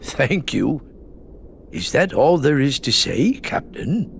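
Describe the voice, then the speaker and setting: An actor playing a stately elderly emperor, stern yet benevolent, speaking formally and with solemn measure in a game cutscene. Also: male voice, mid-range voice, very animated, slow pace, gravelly, a little hiss or hum, exaggerated voice. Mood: gently teasing.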